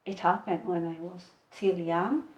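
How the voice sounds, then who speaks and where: A woman in her twenties from Kenya, speaking English as a second language, telling her personal story as a podcast guest in a soft, halting voice. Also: on the low side, fairly even intonation, highs cut off. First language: a language other than English. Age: 20s. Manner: soft-spoken, halting, plain wording. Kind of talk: personal testimony in conversation.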